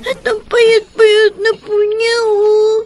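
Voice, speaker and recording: Very high, weeping Filipino daughter. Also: female voice, completely flat delivery, takes it slowly, a loud crowd. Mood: tearful sorrow. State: crying. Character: sorrowful young daughter of a sick mother. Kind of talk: radio drama acting.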